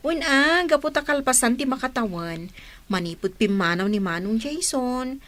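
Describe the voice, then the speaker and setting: A woman's voice: high voice, expressive, moderate pace, a bit bright. A woman acting a cheeky, affectionate younger sister in an Ilocano Filipino radio drama, eager and teasing. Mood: teasing, tender.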